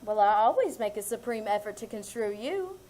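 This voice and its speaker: A woman's voice: mid-range voice, big pitch swings, fairly quick, darkish tone. A woman playing a pompous young office secretary in a live 1940s-style radio comedy, speaking in a prim, affected way and showing off big words. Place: live theater stage.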